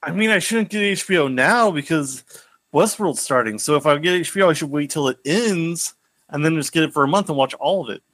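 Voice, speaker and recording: A man's voice: on the high side, fairly quick, a bit bright, no echo. A man chatting casually as a podcast co-host in an insistent, plain-spoken way. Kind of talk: casual chat.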